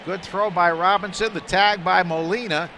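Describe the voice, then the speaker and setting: A male play-by-play announcer delivers live baseball radio commentary in a forceful, bright voice. His pitch sits a little high for a man, and ballpark noise runs faintly behind him. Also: normal speed. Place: broadcast booth at an outdoor ballpark. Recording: steady background noise of ballpark. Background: stadium ambience.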